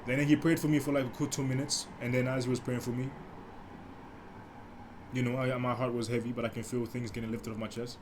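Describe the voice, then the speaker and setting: A young man with a deep, mellow voice hosting a casual solo podcast, telling a story with many restarts and asides. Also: level tone, brisk, background noise. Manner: rambling, many restarts and asides, speaks softly. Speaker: podcast host.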